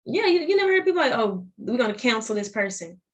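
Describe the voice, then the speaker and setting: A woman's voice: on the high side, sing-song, fast, highs cut off. A young woman with a Southern US accent, a podcast guest talking animatedly in casual chat and often restarting her phrases.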